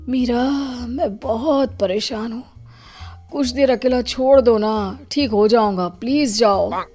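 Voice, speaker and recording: Woman playing young husband, sulky, complaining, manipulative, high voice, story drama, soft music. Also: slow pace. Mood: feigned distress.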